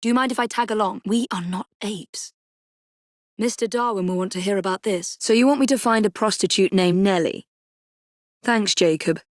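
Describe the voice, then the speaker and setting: A woman acting as a young Victorian assassin in a video game, with an English accent and crisp, formal, composed diction. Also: medium pitch, normal speed, dry recording, clean studio sound, natural voice. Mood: dry, polite. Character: young female Victorian assassin, brisk, poised and determined. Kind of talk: scripted game character dialogue.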